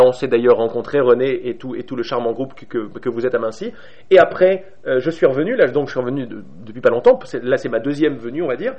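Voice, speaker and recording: A man's voice: mid-range voice, darkish tone, lo-fi sound. A man from Paris with a Parisian accent giving an informal spiritual talk to a group, hesitant, with many restarts, fillers and light laughs. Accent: Parisian French. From Paris, France. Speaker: spiritual speaker.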